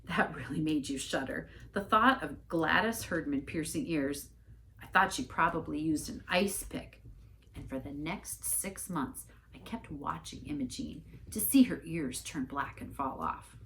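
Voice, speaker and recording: A woman reading a storybook aloud as an expressive narrator. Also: deep voice, a little slow, vocal fry, a little hiss or hum. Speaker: story reader, church staff member.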